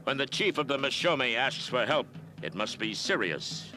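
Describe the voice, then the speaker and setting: An actor in an animated series playing an earnest, upright masked cowboy hero with a measured, heroic manner. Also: male voice, mid-range voice, music in the background, lightly acted. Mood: thoughtful.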